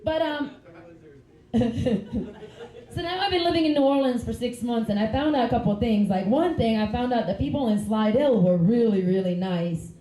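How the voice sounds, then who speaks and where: A woman's voice: on the high side, moderate pace, warm tone, roomy sound, rough recording. A woman, doing a rambling, giggly stand-up comedy set on a club stage. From Finland. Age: 30s. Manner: chatty, giggly, rambling asides.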